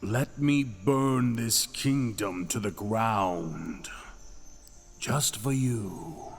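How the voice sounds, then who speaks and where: Dragon king, acted by a man, low-pitched and very slow; seductive, possessive menace for fantasy ASMR, music underneath. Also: animated, gravelly, exaggerated voice. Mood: coaxing, intimate. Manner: theatrical, slow purring endearments, dropping to whispers. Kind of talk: ASMR romantic fantasy roleplay.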